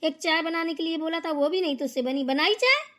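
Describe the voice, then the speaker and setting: A woman's voice: very high voice, expressive, fairly quick, a bit bright. A woman voicing a domineering older mother-in-law in a family cartoon, scolding and nagging with sarcastic questions. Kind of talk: amateur Hindi family cartoon dialogue.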